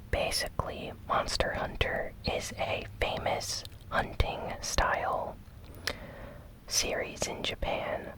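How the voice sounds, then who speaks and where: A host whispering a gaming news recap in a gentle, deliberate, breathy ASMR style. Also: low-quality recording. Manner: slow, gentle, deliberate delivery with short phrases.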